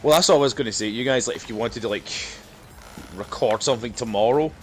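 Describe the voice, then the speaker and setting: Male co-host, medium pitch, loose joking banter over a game, noisy recording. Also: quick pace. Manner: joking, sweary, laughs often. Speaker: podcast co-host. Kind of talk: casual banter while gaming.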